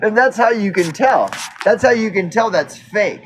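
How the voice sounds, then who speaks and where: A fifty-year-old man with a slightly high voice rambles through an unscripted personal rant over faint background noise. He is earnest and insistent, swears casually, and often stalls, repeats himself and circles back mid-sentence. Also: lively intonation, normal speed, rough recording. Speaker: recovery vlogger.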